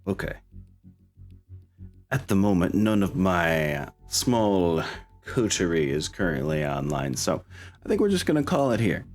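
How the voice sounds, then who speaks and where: A man's voice: low voice, big pitch swings, a little slow, faint music behind. A man hosting as a game master, chatting casually in an upbeat, informal way with players and viewers. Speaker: game master and stream host.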